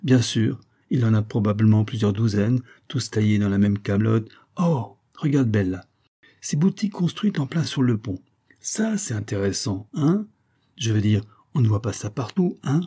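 A man playing a grumbling, suspicious tourist husband, gruff, sardonic and colloquial. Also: on the low side, expressive, normal speed, mellow. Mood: curious.

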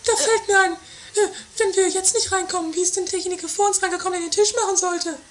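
A woman's voice: very high voice, fairly even intonation, fast talker. A woman playing a quarrelsome, commanding sister in a comedy radio play, argumentative and theatrical. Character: quarrelsome, commanding, high-voiced anarchic sister. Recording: 1980s homemade radio play, music behind.

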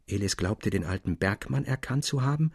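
A man narrating a literary tale in a measured, expressive and articulate dramatic audiobook style. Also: on the low side, husky, dry recording, clean studio sound.

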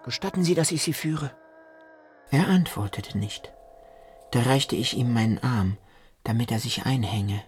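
A man as a reflective, mid-pitched literary storyteller. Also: unhurried, long pauses, a little hiss or hum, close to an everyday voice. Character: reflective male literary storyteller, polite and earnest. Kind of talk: literary radio play narration.

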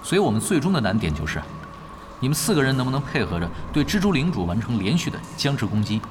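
A male actor as a self-assured gaming expert in an audio drama. He talks at a quick clip with lively inflection, casual and matter-of-fact, over a music bed. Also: mid-range voice, lightly acted. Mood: unhurried, matter-of-fact. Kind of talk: Chinese audio drama acting.

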